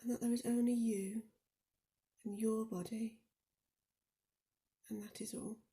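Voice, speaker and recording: Soft, mellow woman guiding meditation, slightly creaky. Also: a little high, flat delivery. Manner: soft, soothing, often breathy and near-whispered; slow and deliberate with gentle pauses. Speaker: meditation guide. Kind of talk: guided body scan meditation.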